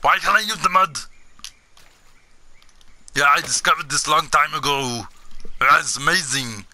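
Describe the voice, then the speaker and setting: A man giving excitable, in-character gameplay commentary, shouting taunts and boasting as he plays. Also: a little high, measured pace, slight background noise. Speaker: gaming video host.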